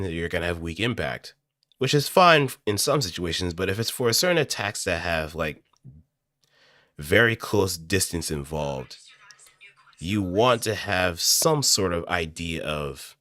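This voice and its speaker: A man with a low, bright voice explains things casually, as an amateur voice actor would in a tutorial. His delivery swings widely and he thinks aloud as he goes. The sound is close and dry, straight into a studio microphone. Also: no room sound. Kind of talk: casual explanatory talk, improvised voice acting tutorial. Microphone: close studio microphone through audio interface.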